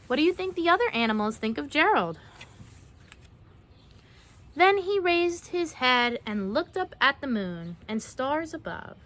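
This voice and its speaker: A young adult woman reading a children's picture book aloud, expressive and pausing to ask the listener questions. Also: sits high. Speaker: children's story reader.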